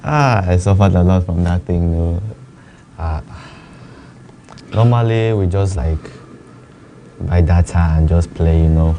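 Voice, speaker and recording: A young man, a native Chinese speaker, answering interview questions hesitantly and softly. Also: sits low, measured pace, pauses often, a little hiss or hum. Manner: hesitant, soft-spoken, laughs shyly. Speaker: esports player guest.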